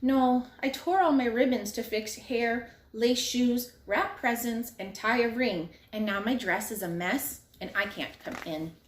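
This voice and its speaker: A woman's voice: a little high, unhurried, few pauses, roomy sound, voice pushed up, close to an everyday voice. A woman voicing a cheerful, helpful little girl in a storybook read-aloud. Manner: bright, kind, eager.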